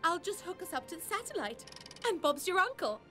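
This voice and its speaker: A woman playing a bright, bookish, polite schoolgirl in a cartoon, with a precise, explanatory manner. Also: very high-pitched, sing-song, fairly quick, over music. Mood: helpful, cheerful. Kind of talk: animated adventure acting.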